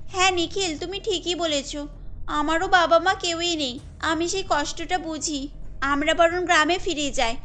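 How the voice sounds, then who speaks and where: A woman voicing a sweet, pampered, chatty young city wife in a cartoon, with girlish expressive delivery. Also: very high-pitched, measured pace, heavily acted. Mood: concerned. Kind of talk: cartoon story dialogue. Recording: music under the voice.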